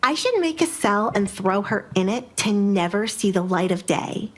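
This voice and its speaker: A woman's voice: medium pitch, expressive, moderate pace, close to an everyday voice. Woman acting a spiteful, haughty young noblewoman. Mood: bitter, scheming.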